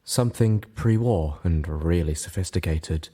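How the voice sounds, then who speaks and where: A calm little unicorn mare from a fantasy audiobook, performed by a man. The voice is husky and mellow, with a casual, confiding delivery. There is a wry, earnest temper to it. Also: sits low, measured pace, voice pushed down.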